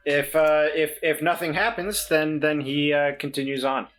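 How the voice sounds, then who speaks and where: A man's voice: on the high side, steady tone, normal speed, a bit bright. A man playing a tabletop game, describing his character's moves hesitantly. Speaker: player. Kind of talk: casual game talk.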